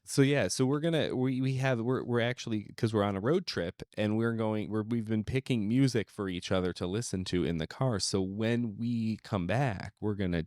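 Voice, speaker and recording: A man's voice: fairly low pitch, normal speed, hardly any pauses, a little mellow, no room sound, clean studio sound. A man co-hosting a podcast, talking casually and without a script. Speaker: podcast co-host. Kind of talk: casual, unscripted podcast chat.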